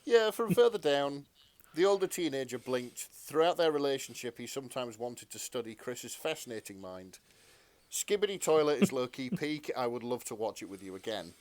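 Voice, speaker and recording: A man's voice: medium pitch, lively intonation, moderate pace, no echo. A man co-hosting a podcast, chatting casually and reading fan fiction in a hesitant, bemused, sweary manner. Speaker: podcast co-host.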